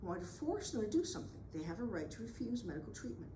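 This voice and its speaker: A woman moderating a forum, reading a prepared question aloud in a slow, deliberate listing manner. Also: mid-range voice, very animated, noisy background. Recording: echoey room.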